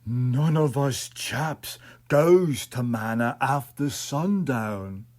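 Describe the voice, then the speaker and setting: A man's voice: mid-range voice, animated, extremely slow, darkish tone, pitched below the performer's own voice. A man voicing a stubborn, superstitious village man in a story, sullen and rustic.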